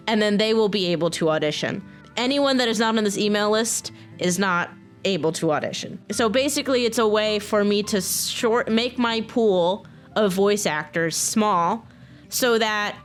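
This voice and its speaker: Earnest woman chatting over music. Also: mid-range voice. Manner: earnest, excited, informal. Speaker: video creator and game modder. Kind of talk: casual, personal talk to viewers.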